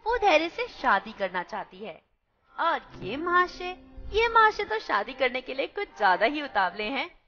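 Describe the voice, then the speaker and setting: Lively high-voiced woman narrator. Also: a little slow, soft background music. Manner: lively, teasing commentary. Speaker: narrator of a radio play. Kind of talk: scripted narration. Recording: dull lo-fi recording.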